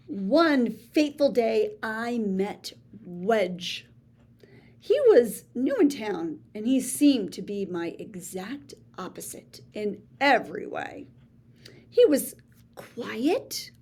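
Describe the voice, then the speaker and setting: A woman reading a children's picture book aloud in a playful, theatrical way, with big swings between soft and showy lines. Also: fairly high pitch. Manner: boastful first-person storybook voice. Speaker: read-aloud storyteller.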